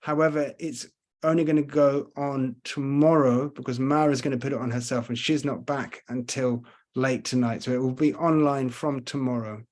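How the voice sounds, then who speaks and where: A man's voice: medium pitch, fairly even intonation, normal speed, darkish tone. A man with a London accent and a slight Scottish trace, giving a casual online tour lecture and answering questions, hesitant and full of fillers, on a computer mic. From Scotland and London. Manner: chatty, hesitant with many fillers. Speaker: tour guide. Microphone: computer mic on video call.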